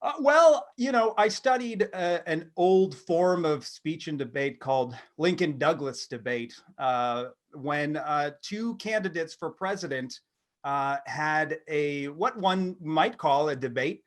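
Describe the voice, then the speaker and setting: A man in his thirties with a somewhat high voice. He talks like a comedy podcast co-host, wry and self-deprecating, with a halting, casual delivery. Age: 30s. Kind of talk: casual comedic monologue and chat.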